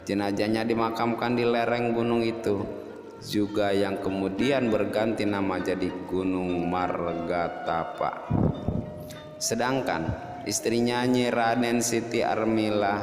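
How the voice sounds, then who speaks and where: A man tells a folk tale in a low, unhurried voice. He lingers on key words and leaves dramatic pauses. The recording swims in long reverb, with music playing behind him. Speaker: storyteller and host. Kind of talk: scripted folk-tale narration.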